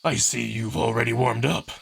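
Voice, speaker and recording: A man voicing a stern, imperious wolf mentor, speaking in a measured, contemptuous and commanding way. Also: medium pitch, steady tone, gravelly, slightly rough. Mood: coldly commanding.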